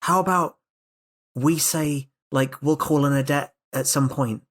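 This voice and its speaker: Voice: medium pitch, measured pace, fairly bright tone. A tabletop roleplaying player improvising casual in-character chat, plain-spoken and insistent.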